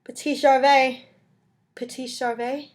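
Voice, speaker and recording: A woman's voice: high-pitched, level tone, slow, pitched above the performer's own voice. Woman voicing an anguished, desperate ex-convict. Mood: desperate calling. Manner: desolate, calling out in distress. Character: anguished, desperate, guilt-ridden male ex-convict. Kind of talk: storybook character reading.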